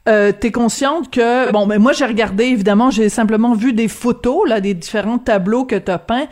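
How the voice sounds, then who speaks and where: A woman hosting a radio interview, asking measured questions with a few hesitant fillers. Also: on the high side, a little mellow. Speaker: radio host.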